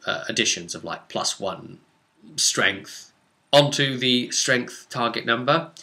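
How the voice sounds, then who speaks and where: A man's voice: mid-range voice, bright, forceful tone. A man recounting a tabletop role-playing session as game master, informal and rambling, with descriptive detail and dramatic emphasis on key words.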